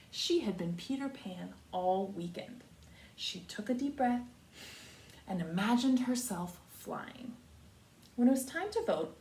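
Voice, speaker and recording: An American woman, a kindergarten teacher, giving a read-aloud lesson to young children in simple, deliberate phrases with repetition. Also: medium pitch, darkish tone. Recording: roomy echo, distant mic, faint noise.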